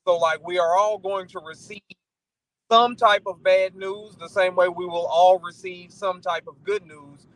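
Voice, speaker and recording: High-pitched American man, casual guest. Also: steady tone, measured pace, fairly bright tone, poor audio. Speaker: talk show guest. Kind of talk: casual motivational conversation.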